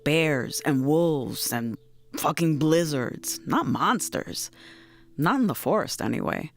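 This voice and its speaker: A woman's voice: deep voice, expressive, slow pace, creaky, exaggerated voice. An actress playing a blunt, wry, brooding woman who swears and muses in naturalistic audio drama dialogue. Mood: wry, intense.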